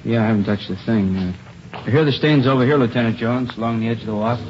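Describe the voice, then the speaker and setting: An actor playing a plain-spoken police officer in an old radio drama, briefing colleagues in a casual, businesslike way. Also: male voice, medium pitch, fast, lightly acted. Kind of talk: 1950s radio drama acting. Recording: dull, noisy vintage radio recording.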